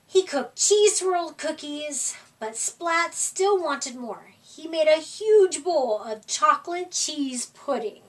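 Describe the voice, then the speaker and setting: A woman reading a children's picture book aloud at a library storytime, with gentle, expressive delivery. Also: high-pitched, takes it slowly, few pauses, slight background noise. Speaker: storytime reader. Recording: voice from far off the mic.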